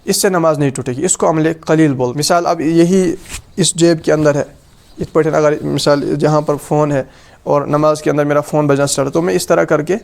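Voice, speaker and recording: A man's voice: on the high side, fairly even intonation, moderate pace, vocal fry, a little mellow. A man with a Kashmiri accent giving a practical religious lesson, explaining step by step in an instructive way and repeating his points. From Kashmir, South Asia. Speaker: Islamic teacher and presenter.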